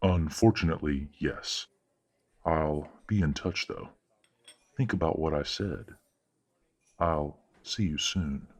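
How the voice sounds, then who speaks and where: A man playing a condescending, manipulative werewolf father in an audio roleplay, speaking in a measured, patronizing and coldly genteel way. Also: a little hiss or hum. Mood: quietly persuasive. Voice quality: deep, husky. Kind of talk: audio roleplay drama acting.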